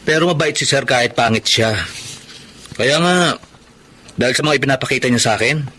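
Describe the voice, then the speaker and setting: A man's voice: mid-range voice, brisk, sharp tone, slight background noise, lightly acted. A man with a Filipino accent acting as a polite but slightly cocky college student in a radio-style drama. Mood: casual. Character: twenty-year-old male college student, polite, cocky, easygoing.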